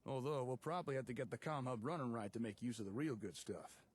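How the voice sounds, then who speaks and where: An actor playing a chatty, enterprising tinkerer in a wasteland game, talking in a folksy, easygoing, encouraging way, with faint noise. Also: male voice, medium pitch, fast, lightly acted. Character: chatty, enterprising male tinkerer guiding wasteland settlement builders. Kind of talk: in-game character dialogue.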